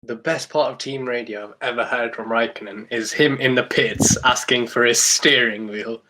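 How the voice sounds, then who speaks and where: A man's voice: mid-range voice, fairly even intonation, normal speed, background noise. A man co-hosting a sports podcast, chatting casually and offering his opinions. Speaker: podcast co-host.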